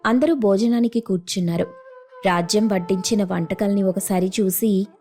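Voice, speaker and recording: A woman's voice: medium pitch, steady tone, moderate pace, dark tone, soft background music. A woman reading a scripted family story as a storyteller. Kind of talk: scripted story narration with acted dialogue.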